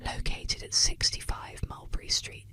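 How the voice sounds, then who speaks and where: A woman narrating eerie legends as scripted bedtime ASMR, whispering slowly and soothingly close to the microphone. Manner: slow, soothing bedtime delivery. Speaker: ASMR storyteller.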